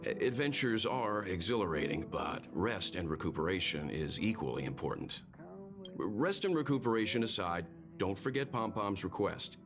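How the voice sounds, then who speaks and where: A man voicing a genial, wise, mature mentor to travellers in a video game, measured and avuncular over music. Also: lowish voice, big pitch swings. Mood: genial advice. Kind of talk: video game character acting.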